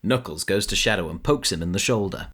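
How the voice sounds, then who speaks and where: A man's voice: deep voice, brisk, a bit bright. A man reading scripted story narration for an audio drama as its narrator.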